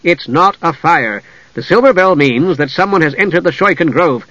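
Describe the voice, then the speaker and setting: A male narrator voicing a flustered young male mage who speaks in hurried, cross bursts. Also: fairly high pitch, animated, no echo, muffled recording, voice pushed up. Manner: hurried, cross, then soft.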